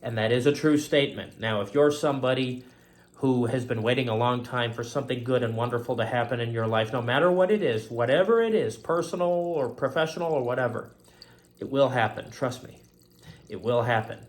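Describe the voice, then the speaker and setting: Male host, solo talk, earnest and rambling, mid-pitched. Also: a little fast. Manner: rambling, earnest, repeats stock phrases. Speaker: host of a faith-themed positive talk show. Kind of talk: casual solo monologue.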